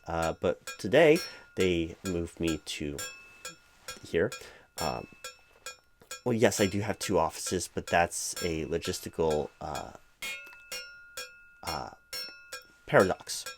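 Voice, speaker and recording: Low-voiced man as flustered hiring manager. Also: darkish tone. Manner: halting, stammering, fussy and bureaucratic. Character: flustered, exasperated, officious male hiring manager, distracted, apologetic. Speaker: performer playing a hiring manager in an audio roleplay. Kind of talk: one-sided comedic audio roleplay, improvised interview.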